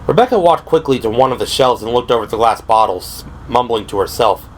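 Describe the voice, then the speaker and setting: A man's voice: medium pitch, lively intonation, fast talker. A man reading dramatic scripted narration for a horror audio drama. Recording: clearly noisy background. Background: ambient sound effects.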